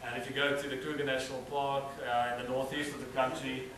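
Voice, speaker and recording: A man's voice: mid-range voice, fast, a little hiss or hum. A man from South Africa with a South African accent, a native Afrikaans speaker, giving a hesitant slideshow presentation at a cultural event. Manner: relaxed, hesitant with frequent uh fillers. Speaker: student presenter. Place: event hall. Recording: roomy hall, mic at a distance.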